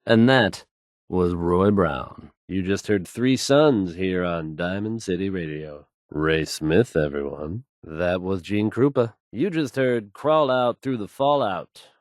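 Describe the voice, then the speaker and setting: Low-voiced, self-assured radio DJ. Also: male voice, slow, no echo, clean studio sound. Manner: self-assured, fluent, easygoing announcer delivery. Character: confident, smooth male radio DJ. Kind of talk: radio patter in video game voice acting.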